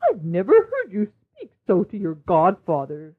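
A woman's voice: mid-range voice, wildly swooping pitch, unhurried, slightly rough, heavily acted. A woman playing a fussing middle-aged mother in an old storybook audio drama. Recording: tinny old lo-fi recording.

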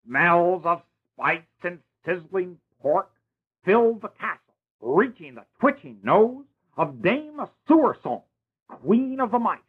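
A kindly old godfather telling a fairy tale, played by a man, with a lively, high voice that leaps about. He sounds a little husky, and the recording is tinny like a phone line. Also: slow pace, exaggerated voice. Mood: warm. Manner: theatrical, playful storytelling. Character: kindly older male godfather and storyteller. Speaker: narrator. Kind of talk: storybook narration.

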